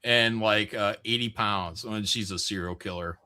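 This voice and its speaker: Male, bright forceful voice, casual banter, teasing, mock-defensive, livestream host. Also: lowish voice, moderate pace, clear, resonant tone.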